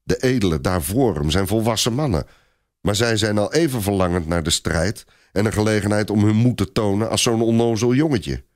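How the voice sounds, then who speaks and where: A man's voice: on the low side, fairly quick, a little mellow, no echo, studio quality. A man narrating a story in a dramatic, scripted audiobook style.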